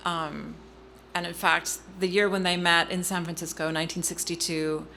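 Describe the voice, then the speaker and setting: A middle-aged woman telling a personal family story in an interview, earnest and halting, with frequent ums and restarts. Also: lowish voice, level tone, sharp tone, a little hiss or hum. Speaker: guest speaker.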